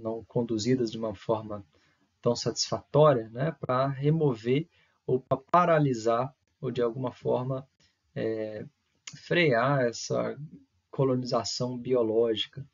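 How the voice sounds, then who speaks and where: A man's voice: medium pitch, measured pace, vocal fry, breathy edge, lo-fi sound. A man from in Brazil, lecturing to students in a hesitant way, with many fillers, restarts and tag questions. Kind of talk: recorded university lecture.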